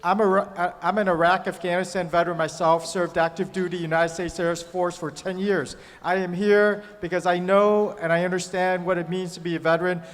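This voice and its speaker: Mayor guy, veteran, short sincere remarks, high voice, echoey room. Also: level tone, brisk, hardly any pauses. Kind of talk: brief public remarks. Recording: reverberant hall.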